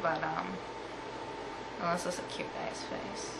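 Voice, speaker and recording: A woman's voice: lowish voice, steady tone, measured pace, gravelly, heavy background noise. A young woman guest in casual, sarcastic, complaining banter, recorded on a camera mic in a small home room. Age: young adult. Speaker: guest on cousin's vlog. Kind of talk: casual chat. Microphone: camera mic at about arm's length.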